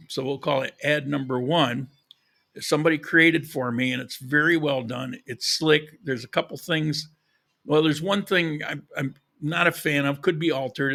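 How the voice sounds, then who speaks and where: Bright-voiced man hosting a casual livestream. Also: on the high side, creaky. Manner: informal, direct address to audience. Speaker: live podcast host. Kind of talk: casual livestream talk to viewers.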